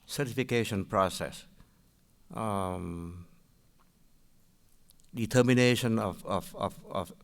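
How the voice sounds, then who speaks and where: An older man from Vietnam with a Vietnamese accent, asking questions at a public meeting in a halting way, with many restarts. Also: on the low side, creaky. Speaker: public commenter.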